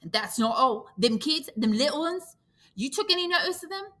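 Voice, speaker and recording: A fretful, indignant schoolboy, as a woman reader puts him on, his voice pushed up high and shrill. He pleads in breathless outbursts with a bright, forceful edge. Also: lively intonation, moderate pace.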